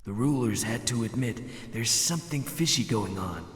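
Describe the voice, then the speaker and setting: An actor playing a grim, scornful stage pantomime player in a video game, speaking in a theatrical manner. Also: male voice, mid-range voice, animated, normal speed, noisy background, natural voice. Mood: ominous. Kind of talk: theatrical scripted game dialogue. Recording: echoey hall with long reverb.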